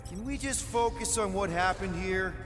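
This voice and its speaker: A man's voice: high voice, normal speed, big-room sound, natural voice. Guy doing a wisecracking young space adventurer for a game, casual, music behind him. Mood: wry, focused. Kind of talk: video game voice acting.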